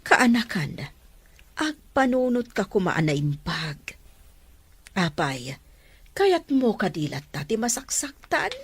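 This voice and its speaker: Woman as stern Filipina aunt, expressive delivery. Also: medium pitch, takes it slowly, pauses often, slightly rough, no room sound, exaggerated voice. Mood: stern, concerned. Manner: admonishing, questioning. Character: stern, concerned aunt admonishing her niece. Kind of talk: emotive audio drama acting.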